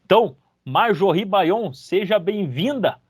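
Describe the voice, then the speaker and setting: A man's voice: lively intonation, a little slow, breathy edge, no room sound, studio quality. Upbeat man hosting. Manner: enthusiastic, chatty, pitch jumps on greetings. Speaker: podcast host. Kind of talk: casual interview chat.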